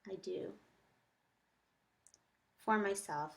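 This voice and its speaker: Female teacher, mid-pitched, reflective, reading aloud and annotating her thoughts step by step. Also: extremely slow, creaky, fairly bright tone. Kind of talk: think-aloud reading lesson, reading aloud and commenting.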